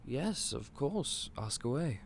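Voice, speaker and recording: A man playing an eccentric, otherworldly candy store owner in an audio roleplay, polite and gentle but a little scatterbrained. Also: medium pitch, sing-song, slow pace, a little hiss or hum. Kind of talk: scripted audio roleplay to the listener.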